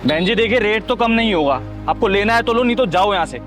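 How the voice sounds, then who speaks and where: A man's voice: high-pitched, expressive, quick pace, fairly bright tone, faint music behind. A man playing a poor, long-suffering vegetable seller who speaks politely but pointedly and moralizes in a short drama. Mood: exasperated. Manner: polite address, sarcastic, moralizing.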